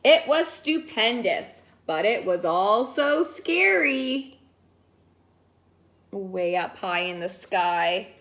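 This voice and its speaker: A woman teacher reading a picture book aloud in an expressive, sing-song way, stretching words and adding asides to young listeners. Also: medium pitch, slow. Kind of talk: animated children's story read-aloud with asides to listeners.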